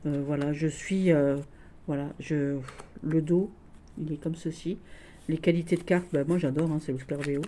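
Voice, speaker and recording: Woman, Lorraine accent, lowish mellow voice, halting enthusiast; light background noise. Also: steady tone. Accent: Lorraine French. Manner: halting and gushing, many restarts and fillers. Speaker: tarot deck reviewer. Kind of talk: casual tarot deck showcase.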